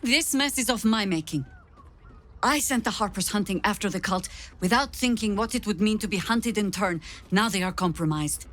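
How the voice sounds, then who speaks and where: An actress playing a stern, weary veteran woman commander in a video game, speaking in a measured, grave and authoritative way. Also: mid-range voice, animated, fairly bright tone, close to an everyday voice. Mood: regretful, resolute. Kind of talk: dramatic video game acting.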